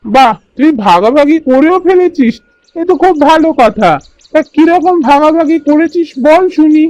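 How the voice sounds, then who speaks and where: A man's voice: very high-pitched, lively intonation, normal speed, darkish tone, no echo, low-quality recording. A man voicing a simple, honest elder brother bird in a children's cartoon, earnest and plaintive. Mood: agreeable.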